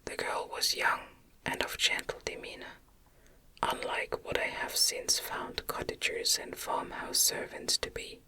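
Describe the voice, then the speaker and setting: Female ASMR narrator for classic gothic fiction: fully whispered, very crisp and bright, gentle delivery. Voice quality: breathy, unvoiced whisper. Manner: gentle, unhurried, careful literary reading. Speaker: audiobook narrator, ASMR reader.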